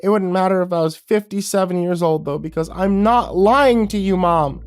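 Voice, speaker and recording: Male narrator voicing a scared eleven-year-old boy, high and breaking, near sobbing. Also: moderate pace, clear, resonant tone, natural voice. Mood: pleading, tearful. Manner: pleading, voice cracking.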